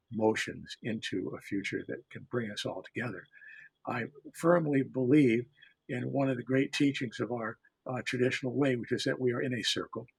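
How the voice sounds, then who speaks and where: An older man from the United States, an author and storyteller, giving a reflective panel talk in a measured way with emphatic repetition. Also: mid-range voice, creaky, slightly rough, no room sound, poor audio.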